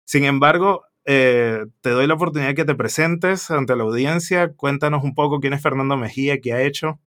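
A man's voice: mid-range voice, moderate pace, fairly bright tone. Man hosting a casual tech podcast. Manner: hesitant, with restarts. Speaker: podcast host. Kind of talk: casual interview chat.

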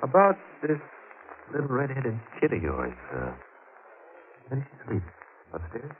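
A man's voice: mid-range voice, huge pitch swings, a little slow. A man playing a menacing escaped killer in an old radio crime drama, curt, commanding and sardonic. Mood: threatening. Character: menacing escaped killer, adult man, cunning, holding a housewife hostage. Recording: tinny old radio recording with hiss.

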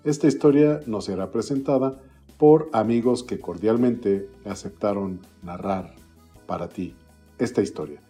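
A man hosting a literary podcast, reading an introduction and an author biography in a formal, measured way. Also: fairly low pitch, warm tone, faint music behind. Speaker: podcast host.

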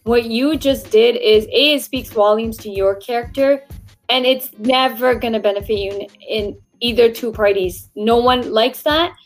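Woman, high-pitched voice, recruiter and co-host, blunt, emphatic, conversational career advice, music in the background. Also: moderate pace. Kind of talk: livestream career-advice discussion.

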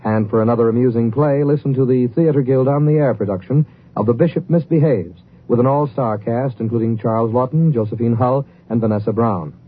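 A man's voice: medium pitch, a little fast. A man working as a network radio announcer, reading promotional copy in a polished, formal 1950s style. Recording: narrow old radio broadcast, faint noise.

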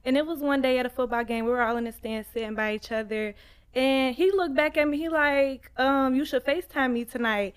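Twenties woman, guest, high clear voice, animated storyteller. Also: fairly quick. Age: 20s. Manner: animated storyteller, giggly, acts out quotes. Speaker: podcast guest. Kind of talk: casual storytelling.

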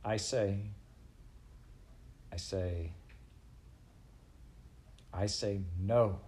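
A man's voice: lowish voice, animated, slow, darkish tone, slight background noise. Man playing an earnest little storybook mouse. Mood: quietly defiant. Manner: earnest, respectful. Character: small, brave, lovestruck young male mouse.

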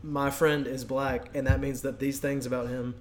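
A man's voice: mid-range voice, level tone, moderate pace, a little hiss or hum. A man co-hosting a podcast, talking casually and informally in an agreeable conversational way. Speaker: podcast co-host.

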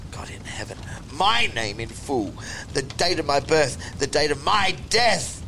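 A desperate, feverish wanderer from a gothic horror tale, acted out by a male narrator. He declaims in an archaic, pleading way, lifting his pitch with urgency. Clear noise sits behind him. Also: big pitch swings, measured pace, sharp tone, full character voice, rough recording. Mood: horrified. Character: desperate, feverish lost man narrating his own haunting.

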